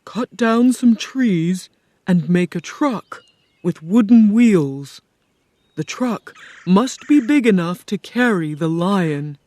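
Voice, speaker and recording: A woman voicing a kind, gentle male scarecrow in a storybook reading, speaking calmly and earnestly. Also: medium pitch, no echo. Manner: calm, earnest, slow and persuasive.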